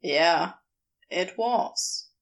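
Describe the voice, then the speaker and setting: Romantic audiobook role: tender, smitten gentleman, low-key and slow, gravelly edge played by a woman. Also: animated, full character voice.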